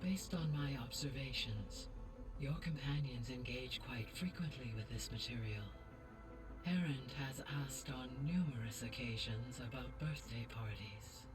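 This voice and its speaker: Distant, formal female AI. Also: very deep voice. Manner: formal, measured, precise. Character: calm, courteous female artificial intelligence guide. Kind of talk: video game voice acting. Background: game music.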